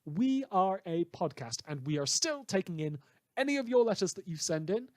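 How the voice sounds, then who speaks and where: A man's voice: sits high, sing-song, moderate pace, dry recording, clean studio sound. A man who makes a fan podcast, reading out credits and thanks to listeners and helpers.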